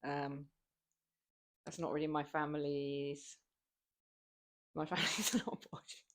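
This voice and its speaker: A woman's voice: deep voice, level tone, takes it slowly. A young woman with a southern English accent, chatting casually with her stream viewers while lifting weights, rambling and laughing often. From southern England. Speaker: fitness streamer.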